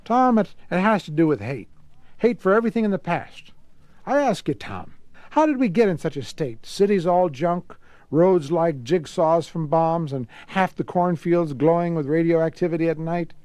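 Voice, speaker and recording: A man voicing a gruff, folksy, bitter working man in a storybook, talking in rough colloquial phrases with stammered repeats. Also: fairly high pitch, lively intonation, moderate pace, a little mellow, no echo, natural voice. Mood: bitter, explaining.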